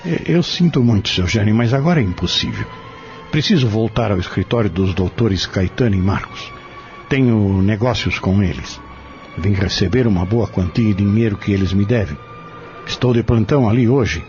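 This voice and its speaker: A man playing a secretive peddler in a Brazilian audio drama, speaking in a measured, formal, confiding way. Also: fairly low pitch, lively intonation, darkish tone. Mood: courteous, cautious. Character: secretive male peddler guarding a dead friend's secret. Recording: dull lo-fi audio drama with music.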